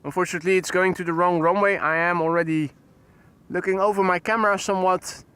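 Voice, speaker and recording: A man's voice: on the high side, a bit bright, slight background noise. A man hosting a live plane-spotting stream, chatting and greeting viewers by name as he commentates. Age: 40s.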